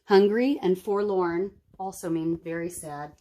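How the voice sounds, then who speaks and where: A woman's voice: lowish voice, measured pace, dark tone. A woman reading a children's storybook aloud as a story-time host, dramatic and explanatory. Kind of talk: expressive children's storybook narration.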